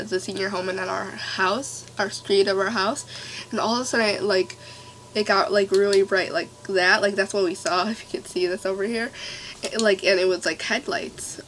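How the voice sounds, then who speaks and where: A young woman with a stuffy nose telling a scary story to her camera, rambling and excitable with lots of fillers. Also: mid-range voice, slight background noise, low-quality recording. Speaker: vlogger. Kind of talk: casual storytime.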